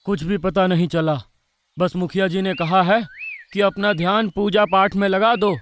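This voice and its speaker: Village farmer husband for horror cartoon, male actor: high-pitched, worried but argumentative, light background noise. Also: steady tone, normal speed, a bit bright. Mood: stubborn, irritated. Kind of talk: dramatised Hindi horror cartoon story.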